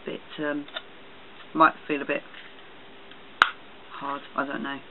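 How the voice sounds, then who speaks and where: A man giving a casual, halting show-and-tell product review for a vlog. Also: a little high, completely flat delivery. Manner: hesitant, halting delivery. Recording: tinny phone-like sound, loud noise, clicks.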